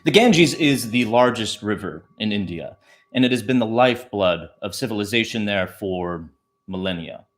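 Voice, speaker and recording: A man narrating as a storytelling host, speaking deliberately in short phrases with pauses, as if for a bedtime tale. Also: lowish voice, expressive.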